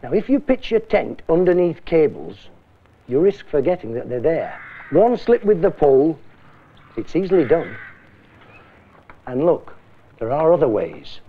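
A stern, middle-aged British schoolmaster lecturing a class. His gravelly voice swings widely in pitch as he questions and admonishes with blunt emphasis. The sound is thin and muffled, like an old public information film. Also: very animated, measured pace, long pauses, full character voice. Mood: grave warning. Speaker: teacher.

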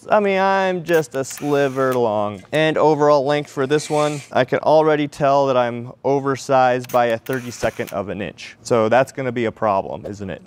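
A man in his forties teaching metal fabrication in a large workshop, explaining each step plainly and often asking questions aloud. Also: medium pitch. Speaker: welding and fabrication instructor. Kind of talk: shop demonstration with voice-over.

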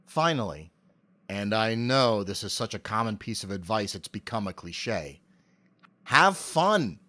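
A middle-aged man with an articulate, measured delivery, giving prepared interview answers into a close cardioid mic. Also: fairly low pitch, big pitch swings, bright, forceful tone. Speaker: interview guest, amateur voice actor. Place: home office. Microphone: cardioid dynamic mic with pop filter, on a stand.

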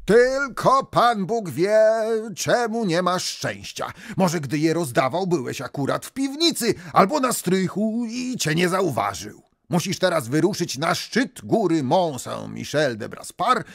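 A man's voice: high voice, big pitch swings, a little slow, creaky, no room sound, clean studio sound, voice pushed up, heavily acted. A man voicing a solemn old village storyteller who gives instructing advice.

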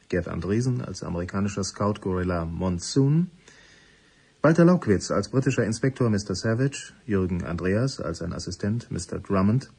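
A man reading radio play credits as a formal announcer in a measured manner. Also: on the low side, mellow, slightly rough.

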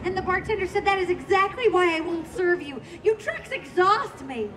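A woman playing a dotty single lady in an improv comedy show, with a deadpan, slightly hesitant delivery. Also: very high voice, moderate pace, over music. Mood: storytelling.

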